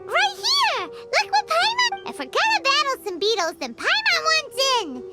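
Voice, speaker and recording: A tiny, childlike fairy companion for a video game, cheeky and pert. The squeaky voice sits extremely high and bounces in pitch, with music clearly behind it. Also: female voice, huge pitch swings, moderate pace, full character voice. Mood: eager, proud. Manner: refers to self in third person, pert. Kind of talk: animated video game character acting.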